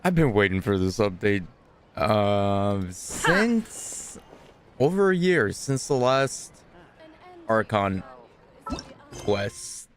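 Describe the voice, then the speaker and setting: Rustige, lage mannenstem bij het gamen. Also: male voice, lowish voice, very slow, pauses often, a little hiss or hum. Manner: relaxed, mumbling asides. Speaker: gaming streamer. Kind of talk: casual gameplay commentary. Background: game music.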